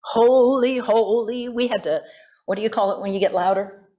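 A woman's voice: on the high side, normal speed, dark tone, dull audio. Older woman pastor giving a sermon. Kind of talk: sermon read from notes with informal asides. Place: church sanctuary at a pulpit. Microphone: gooseneck pulpit microphone.

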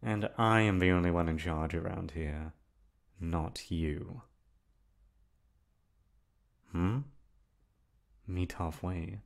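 A man's voice: low-pitched, steady tone, takes it slowly, vocal fry, pauses often, full character voice. A man playing a possessive, lonely young prince in an ASMR roleplay, speaking in formal, stilted phrases to the lover he holds captive. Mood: cold, commanding. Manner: formal, stilted diction, swinging from tender to commanding.

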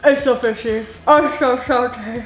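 A woman's voice: on the high side, normal speed. A bubbly young woman co-hosting a casual food taste test at a home kitchen counter, leading each round. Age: young adult. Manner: bubbly, leads the segments. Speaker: co-host. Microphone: camera mic, seated at counter. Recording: echoey room, faint music.

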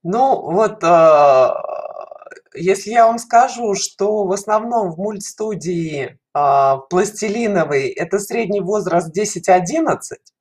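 Halting woman in a video call interview. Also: mid-range voice, lively intonation, darkish tone, slightly rough. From Russia. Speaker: guest, children's animation studio educator. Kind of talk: conversational explanation in an online interview. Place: indoor room, video call. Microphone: headset.

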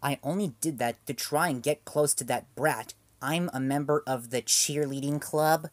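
A man's voice: a little high, normal speed, dry recording, lightly acted. A man doing a mocking teen girl. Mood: teasing. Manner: mocking, teasing. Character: sharp-tongued teen girl.

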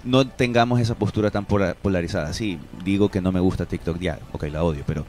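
A man's voice: deep voice, quick pace, darkish tone. An Ecuadorian man, middle-aged, explaining his opinions emphatically in a radio discussion, close on a studio microphone. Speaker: radio show guest. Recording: music playing behind the voice. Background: music.

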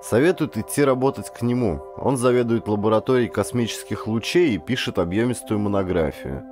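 A man reading first-person literary fiction as an audiobook, with a dramatic, deliberate storytelling delivery. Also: on the low side, music in the background. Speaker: audiobook narrator, actor.

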